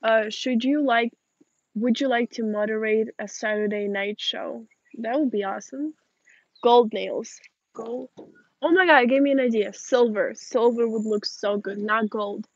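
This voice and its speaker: A woman's voice: a little high, a little slow, no room sound, muffled recording. A young woman in her late teens from Moldova, a native Russian speaker, chatting informally outdoors as she reads and answers viewer questions. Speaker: livestream host. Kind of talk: casual chat, reading and answering viewer questions. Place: outdoors in a backyard garden. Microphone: phone or camera at arm's length.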